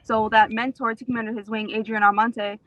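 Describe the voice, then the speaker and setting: Higher-voiced woman giving interview answers. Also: little pitch movement, a little fast, lo-fi sound. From Rio Grande Valley, Texas, USA. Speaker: interview guest, author and actor. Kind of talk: casual explanatory interview answers.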